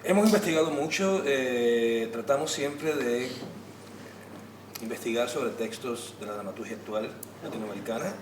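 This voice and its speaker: A man gives an unscripted panel answer as a theater practitioner, stressing points through repeated phrases and pauses. He is some way from the mic, with room echo and clear background noise. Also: mid-range voice, recorded from a distance. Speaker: theater actor and director on a panel. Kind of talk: spontaneous answer at a public talk. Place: indoor theater venue during a public discussion.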